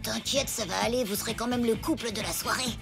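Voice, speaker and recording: A woman voicing an encouraging, persuasive young schoolboy full of schemes in an animated comedy series. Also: fairly high pitch, quick pace, over loud music, lightly acted.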